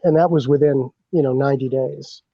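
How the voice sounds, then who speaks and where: A man's voice: mid-range voice, steady tone, fairly quick, vocal fry, no room sound, highs cut off. A man from a bourbon brand on a podcast, talking through his marketing plans in a rambling way, with lots of fillers and restarts. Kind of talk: casual podcast chat.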